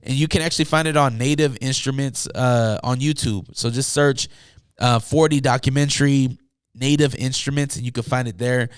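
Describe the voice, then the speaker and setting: Man, hoarse from talking all day, casual rambling podcast host, dry close mic. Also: medium pitch, fairly even intonation, normal speed, no echo. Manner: loose and rambling, full of fillers and asides. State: hoarse, losing his voice. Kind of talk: casual solo podcast chat. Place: home room.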